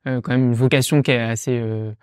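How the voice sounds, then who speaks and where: A man hosting a podcast interview, friendly and casual, pausing often with fillers as he asks questions. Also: medium pitch, fairly even intonation, a little mellow, clear, resonant tone, no room sound, clean studio sound. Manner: friendly, hesitant, frequent "euh" fillers. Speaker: podcast host.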